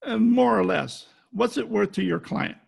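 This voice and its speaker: A man's voice: high voice, moderate pace, creaky, husky, exaggerated voice. A man playing a tough, wisecracking private detective in an old-time radio style, talking in clipped slang. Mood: brusque, cool. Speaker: amateur radio play actor. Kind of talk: hardboiled 1940s radio detective acting. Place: at home, recorded over video call.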